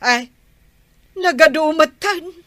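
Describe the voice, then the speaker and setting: A woman's voice: high-pitched, takes it slowly, fairly bright tone, no room sound. A woman with an Ilocano accent acting a baffled, dismayed village woman in a comedy drama. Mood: surprised.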